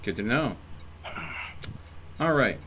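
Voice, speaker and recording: A male streamer with a medium-pitched voice chats casually while gaming. He rambles and thinks out loud as he goes. There is some noise behind him. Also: lively intonation, slow pace, dull audio. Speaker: live streamer playing a video game. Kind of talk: casual livestream gameplay chat.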